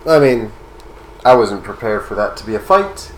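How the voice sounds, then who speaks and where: Deep-voiced male gamer over room noise. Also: normal speed, low-quality recording. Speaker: gamer playing on a live stream. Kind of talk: casual gameplay commentary and chat. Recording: steady room hum, air-conditioning noise.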